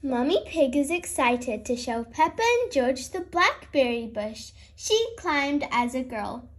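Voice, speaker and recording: A woman's voice: high voice, big pitch swings, a little slow. A woman reading a children's picture book aloud as host, expressively and aimed at young listeners. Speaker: host and read-aloud storyteller.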